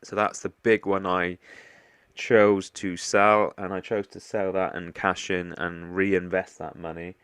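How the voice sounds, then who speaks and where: A man's voice: low voice, flat delivery, a little slow, fairly bright tone, clear, resonant tone, dry recording, studio quality. A man with a stuffy, congested voice from a cold, hosting a casual portfolio walkthrough vlog and often hesitating with ums and restarts. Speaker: investing vlogger.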